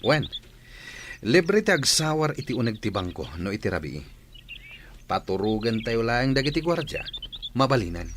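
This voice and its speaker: Male actor as scheming gang henchman, lively intonation, Ilocano accent, radio drama, faint noise. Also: mid-range voice, moderate pace, pauses often, lightly acted. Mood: scheming, gleeful. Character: eager scheming bank robber, gang henchman.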